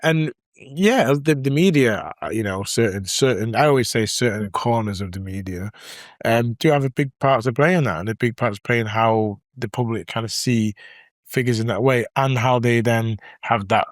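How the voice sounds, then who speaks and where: Relaxed Mancunian man, dry podcast mic. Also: mid-range voice, moderate pace, no echo. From Manchester. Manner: relaxed storyteller, lots of "yeah" backchannel. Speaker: podcast co-host. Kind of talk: casual podcast chat.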